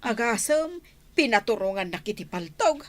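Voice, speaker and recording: A woman's voice: on the high side, big pitch swings, moderate pace. A woman with an Ilocano accent playing a frightened, pleading household maid in a radio comedy drama, with a stammering, dramatic delivery. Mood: distressed, complaining.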